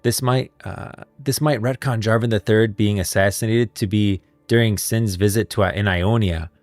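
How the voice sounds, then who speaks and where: A man's voice: lowish voice, steady tone, moderate pace, darkish tone, dry recording, soft background music. A man hosting a casual livestream, talking about game lore in an enthusiastic, emphatic way. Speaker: livestream host and video creator.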